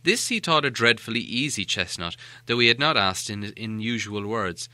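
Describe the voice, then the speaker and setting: A man narrating a storybook as an audiobook reader, with an expressive, dramatic delivery. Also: on the low side, normal speed, no echo. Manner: expressive, animated.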